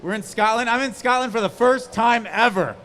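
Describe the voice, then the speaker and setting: Hyped-up young man, bright high voice. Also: brisk, in a large hall. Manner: hyped-up, excitable, playful. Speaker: reality TV guest. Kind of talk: convention stage interview. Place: convention stage. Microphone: handheld mic.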